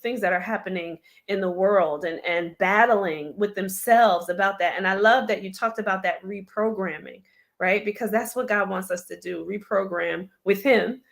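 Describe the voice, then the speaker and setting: A woman hosting an interview show with a slightly hoarse voice, responding to her guest with encouraging affirmations. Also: medium pitch, normal speed. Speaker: host. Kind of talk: casual interview chat.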